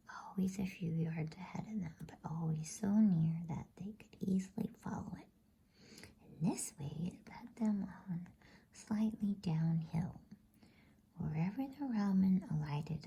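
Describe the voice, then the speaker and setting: A woman reading a bedtime story in a soft, breathy ASMR whisper, gentle, soothing and unhurried. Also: lowish voice, poor audio.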